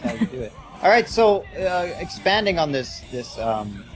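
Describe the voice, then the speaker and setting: A man's voice: sits high, sing-song, moderate pace, faint music behind, low-quality recording. Laid-back man, casual podcast host.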